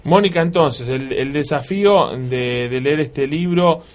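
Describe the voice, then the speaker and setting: A man's voice: on the high side, a little slow, a little hiss or hum. A man with an Argentine accent hosting a radio interview, asking long, rambling questions full of restarts. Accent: Argentine Spanish. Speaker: radio host.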